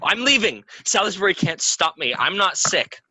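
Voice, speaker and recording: A man's voice: sits high, big pitch swings, normal speed, sharp tone, slightly rough, dry recording, full character voice, poor audio. A man acting a restless, defiant theatre player in an audio play, agitated, bitter and argumentative. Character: restless, defiant, hot-tempered young male theatre player.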